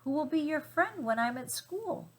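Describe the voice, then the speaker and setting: A woman's voice: sits high, animated, moderate pace, pitched above the performer's own voice. A woman voicing a tender, earnest young girl in a storybook, with an encouraging, hopeful manner. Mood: pleading, concerned. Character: tender, earnest young girl who loves a lame goose. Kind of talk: storybook character voice.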